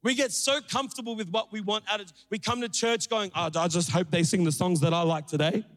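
High-voiced pastor preaching in a reverberant hall. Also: male voice, fairly quick. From Sri Lanka. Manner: energetic, joking, calls out to the crowd. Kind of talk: sermon. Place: church auditorium.

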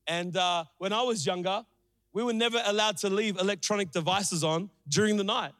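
High-voiced pastor preaching in a reverberant hall. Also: male voice, a little fast. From Sri Lanka. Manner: energetic, joking, calls out to the crowd. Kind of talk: sermon. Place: church auditorium.

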